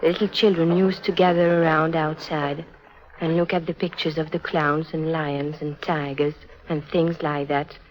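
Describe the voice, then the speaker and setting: A woman playing a lonely, earnest teenage circus orphan who confides sorrowfully in a 1950s radio drama. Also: low-pitched. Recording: muffled vintage 1950s radio recording.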